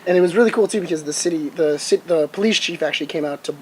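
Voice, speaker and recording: A man's voice: fairly high pitch, racing, slight background noise. A man co-hosting a podcast in casual chat, enthusiastic and repeating himself. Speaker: podcast co-host.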